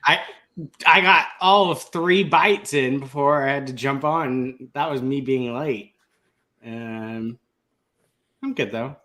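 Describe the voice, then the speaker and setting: A man's voice: medium pitch, slow pace, bright, forceful tone. A man on a movie panel chatting casually, wry and mildly complaining. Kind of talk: casual chat.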